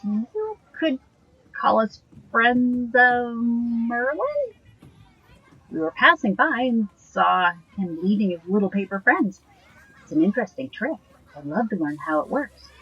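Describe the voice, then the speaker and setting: A woman acting a curious, apologetic and gently teasing girlfriend in an audio drama, with a husky, breathy voice in a dull recording. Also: fairly high pitch, animated, measured pace, full character voice.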